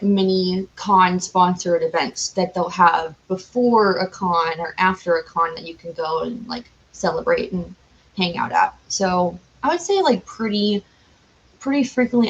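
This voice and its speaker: A woman's voice: fairly low pitch, slight background noise. A chatty, exuberant woman talking casually as a podcast guest, with a slightly muffled sound. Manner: chatty, self-described loud and exuberant. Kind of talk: casual chat.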